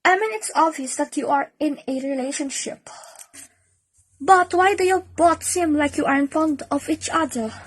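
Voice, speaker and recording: Woman playing a high-voiced, timid young female patient. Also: normal speed, close to an everyday voice. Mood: polite, hesitant. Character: timid, lovelorn young female hospital patient named Evie. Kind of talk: amateur radio play acting.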